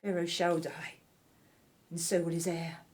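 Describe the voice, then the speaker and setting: A woman playing a ruthless, scheming royal wife of ancient Egypt in an audio drama, speaking in an imperious, deliberate, menacing way. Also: lowish voice, level tone, lightly acted. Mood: cold menace.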